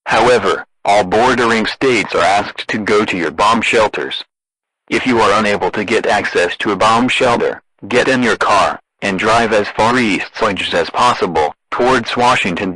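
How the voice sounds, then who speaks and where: A man with a synthetic, deadpan and formal delivery, reading a scripted emergency broadcast announcement. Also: lowish voice, moderate pace, husky, dry recording, rough recording.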